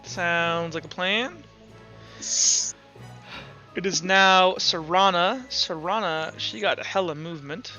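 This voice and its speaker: High, bright-voiced man running a game. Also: takes it slowly, long pauses. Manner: casual, swearing, thinking aloud. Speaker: game master. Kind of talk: narrating a tabletop roleplaying game. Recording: dull, lo-fi sound with background music.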